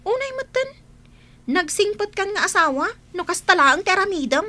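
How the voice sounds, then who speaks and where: A woman with an Ilocano accent playing a sharp, defiant woman quarrelling in a radio drama. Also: extremely high pitch, big pitch swings, normal speed, full character voice. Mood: sharp, scornful.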